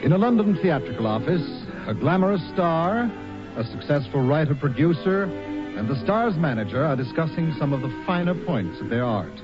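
Male radio narrator, animated intonation, scripted scene-setting, music behind, old 1940s broadcast. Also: fairly high pitch, moderate pace. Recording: dull old radio recording.

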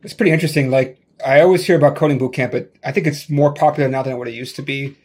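A man's voice: mid-range voice, steady tone, rapid-fire, darkish tone. Chatty man hosting a tech interview. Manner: loose and chatty, full of "like" and restarts. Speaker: podcast host.

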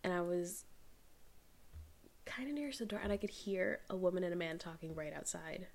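This is an American woman with a lightly softened accent, talking in relaxed conversation. Her voice carries a gravelly fry. She laughs readily and slips into playful quoted voices. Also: fairly low pitch, normal speed. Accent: American, softened after years in the UK. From United States. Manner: chatty, laughs often, acts out quoted voices. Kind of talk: casual chat.

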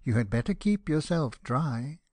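A man's voice: medium pitch, lively intonation, a little slow, warm tone, no echo, pitched above the performer's own voice. A man voicing a blunt, sensible Roman candle firework in a storybook, making dry, pointed retorts. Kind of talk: dramatized storybook reading.

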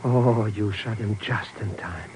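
A man's voice: on the low side, unhurried, a little mellow, close to an everyday voice. An actor playing a cowardly, slangy comic sidekick man in a 1950s radio drama, nervous and sputtering. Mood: scared. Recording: noisy old radio recording.

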